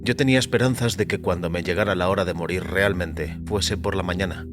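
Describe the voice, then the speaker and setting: A man narrating audio fiction in a solemn, deliberate, dramatic way. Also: deep voice. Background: music.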